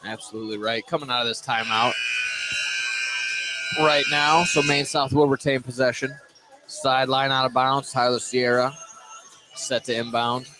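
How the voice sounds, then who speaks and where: A man's voice: mid-range voice, steady tone, normal speed, long pauses, noisy background. A man doing live radio play-by-play of a basketball game as the announcer, courtside in a gym.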